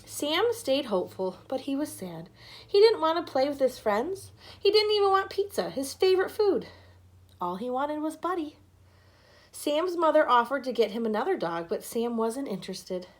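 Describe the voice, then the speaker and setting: A woman's voice: high voice, very animated, normal speed. A woman reading a scripted children's story aloud as narrator. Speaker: storybook reader.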